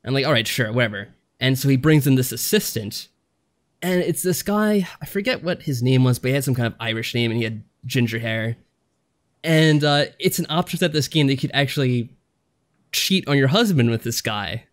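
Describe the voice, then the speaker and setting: A man's voice: mid-range voice, expressive, brisk. A man talking casually as a podcast co-host, rambling through anecdotes and acting out little asides. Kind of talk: casual chat reviewing games.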